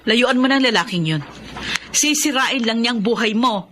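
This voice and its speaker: An actress playing a stern, wounded, protective elder sister in a Filipino radio drama, forceful and dramatic. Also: female voice, fairly high pitch, lively intonation, moderate pace, noisy background, lightly acted.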